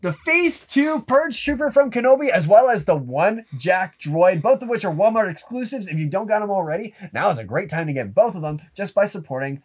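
A man's voice: sits high, brisk, few pauses, slightly rough. A man co-hosting a podcast in casual chat, losing his voice and hyping the show's plugs, on a studio podcast mic. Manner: relaxed, plugging the show with hype. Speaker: podcast co-host.

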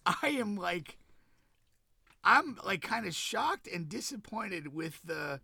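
A man with a high, gravelly, husky voice trades wisecracks on a podcast. He is animated, swears freely and cuts in often. His voice is bright and sounds dry. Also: takes it slowly, no echo. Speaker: podcast co-host. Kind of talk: casual podcast chat.

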